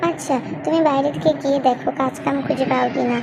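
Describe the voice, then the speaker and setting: Woman as worried mother, poor household, very high voice, cartoon dialogue, noisy recording. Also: steady tone, fast talker. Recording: clearly noisy background.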